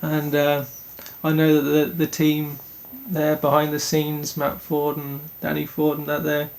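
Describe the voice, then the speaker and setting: A young man from Denmark, a speedway rider answering interview questions in an earnest, halting way with many restarts. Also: on the high side, monotone, creaky, a little mellow, rough recording.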